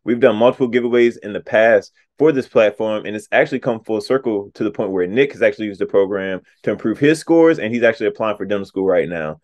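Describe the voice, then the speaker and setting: Casting: quick-talking male host, lowish voice, sponsor read. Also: a little mellow, muffled recording. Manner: upbeat, direct to audience. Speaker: show host.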